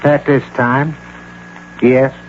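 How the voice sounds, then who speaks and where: An actor playing a businesslike private investigator, terse and factual in a radio drama. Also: male voice, medium pitch, level tone, extremely slow. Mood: matter-of-fact. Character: businesslike, matter-of-fact male private investigator. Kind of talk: 1980 radio drama acting. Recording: muffled radio broadcast, hiss and hum.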